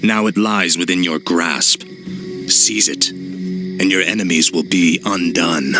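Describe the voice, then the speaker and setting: An actor playing a sly male undead servant who coaxes in a smooth, persuasive way. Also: sits low, steady tone, slow. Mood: tempting. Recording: game cutscene with music.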